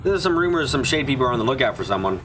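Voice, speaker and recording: A man's voice: mid-range voice, sing-song, very fast, noisy background, lightly acted. Man acting folksy old boat captain, insistent. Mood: kindly, probing. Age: older. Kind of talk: fan-dub visual novel character acting.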